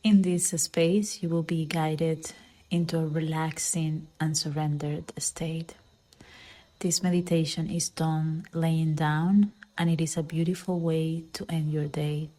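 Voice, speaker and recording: A woman leading a guided meditation in a soft, soothing way, with phrase endings that fall into breathy near-whispers. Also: sits low, level tone, slow pace. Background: background music.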